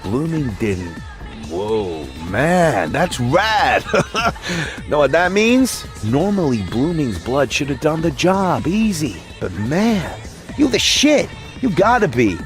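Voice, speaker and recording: A man playing an excitable, goofy young slacker in a video game, talking in an exuberant slangy drawl. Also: fairly high pitch, slow, faint music behind. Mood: amazed.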